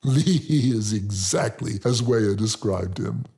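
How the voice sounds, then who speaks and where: A man voicing a solemn, welcoming elderly man in an audiobook, speaking deliberately in a raspy, gravelly voice. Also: fairly low pitch, warm tone, heavily acted. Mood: warm, welcoming. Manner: deliberate, measured. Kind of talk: audiobook character voice.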